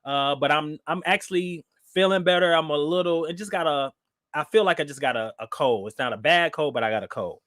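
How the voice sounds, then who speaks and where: A man's voice: fairly high pitch, a little fast, no echo, studio quality. A man hosting a live online discussion show, talking casually with many fillers, a little nasal from a cold. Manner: relaxed, with frequent fillers and restarts. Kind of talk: casual live-stream talk.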